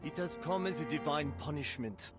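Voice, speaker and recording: An actor playing a solemn, grave gargoyle cousin in a video game, speaking formally. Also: male voice, fairly high pitch, normal speed, lightly acted. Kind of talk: scripted game voice acting. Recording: loud game music over voice. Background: game soundtrack.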